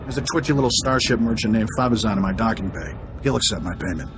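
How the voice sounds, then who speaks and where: A man's voice: fairly low pitch, animated, fast. A male actor playing a smug, roguish thief in a sci-fi video game, glib and sarcastic, with noisy ambience behind him. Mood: smug, mocking. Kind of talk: video game cutscene acting.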